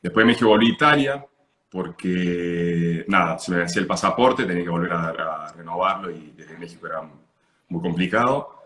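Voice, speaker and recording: Deep-voiced man on a video call. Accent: Argentine Spanish. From Italy, raised partly in Argentina. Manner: relaxed, just woke up, unhurried with pauses. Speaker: guest. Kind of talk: casual video-call interview. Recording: echoey room.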